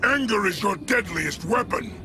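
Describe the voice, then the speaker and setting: A man playing a raging, stern alien warlord in a video game with forceful, declamatory speech. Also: high-pitched, expressive, normal speed, background noise. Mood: wrathful. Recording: game audio under loud music.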